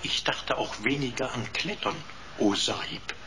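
An actor voicing a cheeky talking computer in a radio drama, with florid, mock-servile pomposity. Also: male voice, mid-range voice, a little slow, background noise, lightly acted. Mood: smugly suggestive. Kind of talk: comic radio drama acting.

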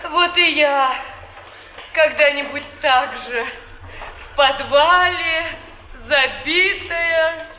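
A woman's voice: high voice, drawn-out words, long pauses, pushed and bright, lo-fi sound, exaggerated voice. An actress playing a downtrodden, timid young slum woman, plaintive and resigned, in a 1950s Soviet stage drama. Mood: mournful, resigned. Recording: echoey theatre hall, background hiss.

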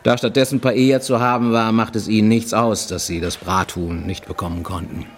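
Low-voiced, wary greying fugitive played by a man. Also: steady tone, brisk, a little hiss or hum, natural voice. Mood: wry, observant. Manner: formal, confiding, insistent, addressing a professor. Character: wary, greying Japanese emigrant man hiding in Mexico. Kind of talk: German radio play monologue. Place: studio radio production.

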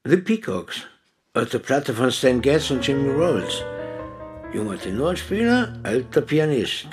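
A man playing an easygoing jazz friend in a radio drama, relaxed and friendly, over background music. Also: mid-range voice, measured pace. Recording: music bed behind voice.

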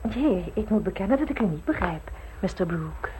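A woman's voice: mid-range voice, lively intonation, normal speed, a little mellow, close to an everyday voice, rough recording. An actress playing a shrewd, worldly married society lady in an old radio play, arch, knowing and teasing. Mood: amused, sceptical. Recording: old radio recording with clear noise.

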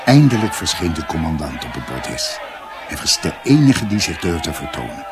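A man playing a reflective doctor recounting family history in a radio drama, with hesitations and dramatic pauses. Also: a bass voice, big pitch swings, over music, crowd noise. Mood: reflective, vivid.

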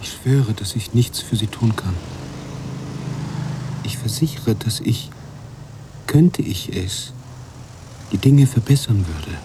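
An actor playing a brooding, guilt-ridden writer who narrates a radio drama in a deliberate, confessional way. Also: male voice, on the low side, expressive, noisy background. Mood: guilty, pleading. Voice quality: husky, hushed at times. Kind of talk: literary radio drama monologue.

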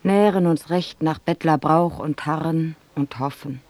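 Slow, plaintive beggar wife. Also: female voice, low-pitched, a little mellow, no room sound. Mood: steadfast, sorrowful. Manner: plaintive, earnest. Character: humble, steadfast beggar woman awaiting husband. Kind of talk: theatrical verse radio play.

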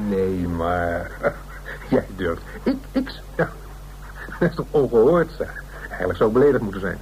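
A man's voice: low-pitched, big pitch swings, takes it slowly, pauses often, darkish tone, exaggerated voice, low-quality recording. A man playing a flustered, easily offended legal adviser in a radio play, hesitant and stammering. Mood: indignant, amused. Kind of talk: naturalistic Dutch radio play acting. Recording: radio play recording with faint noise.

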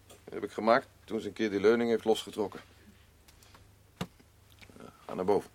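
An actor playing a gruff, defensive working-class father in grief, speaking blunt, halting, colloquial lines in a naturalistic radio drama. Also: fairly low pitch, fairly even intonation, close to an everyday voice. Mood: gruff, matter-of-fact. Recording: clearly noisy radio play recording with ambience.

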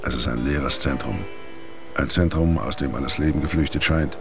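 Very deep-voiced dry investigator, music behind. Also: male voice, moderate pace, creaky. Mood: dry, wry. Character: male investigator dictating a dated field log, wry, weary. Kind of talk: German radio drama, scripted log narration. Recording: music under the voice.

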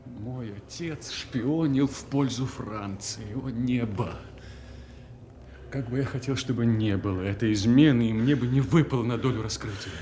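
An actor playing a scheming son who feigns pious anguish in a theatrical audio drama. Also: male voice, mid-range voice, normal speed, warm tone, a little hiss or hum, natural voice. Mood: false sorrow.

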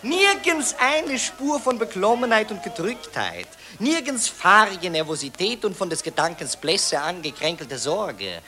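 A man's voice: high-pitched, big pitch swings, unhurried, few pauses, pushed and bright, full character voice. An actor playing a pompous, cynical Viennese newspaperman in a 1940s German radio play, declaiming florid patriotic phrases. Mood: solemn declaiming.

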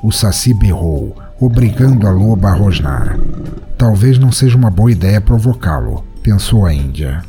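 A man's voice: on the low side, expressive, moderate pace, music in the background. A Brazilian man narrating a scripted fantasy story in a dramatic storytelling style. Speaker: narrator of a fiction podcast.

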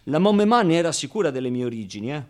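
Man acting as young orphan boy, higher male voice, naive and frank, slangy, radio theatre monologue. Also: sing-song, rapid-fire. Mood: wistful, confiding. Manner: colloquial, ungrammatical, with interjections and rhetorical asides. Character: streetwise ten-year-old orphan boy, frank and naive, narrating.

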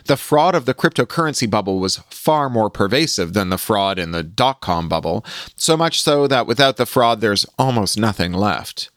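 A man hosting a podcast, reading a scripted opinion column aloud in an articulate, measured and emphatic way. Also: on the low side, animated, a bit bright, dry recording, clean studio sound. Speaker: podcast host reading own magazine column.

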